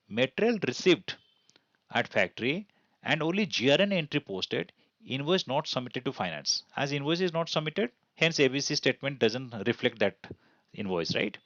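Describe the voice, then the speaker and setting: A man's voice: medium pitch, fairly bright tone, no room sound, highs cut off. A man with an Indian accent giving an explanatory accounting tutorial in a didactic way, asking and answering his own questions. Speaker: accounting instructor. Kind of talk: explanatory tutorial over slides.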